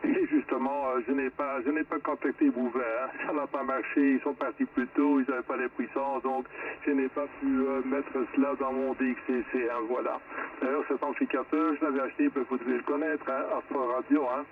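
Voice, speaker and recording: Male ham operator, mid-range pitch, chatty and rambling, heard far off through a tinny narrow radio band. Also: level tone, brisk. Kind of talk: casual ham radio contact. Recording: distant narrow-band shortwave radio, faint static.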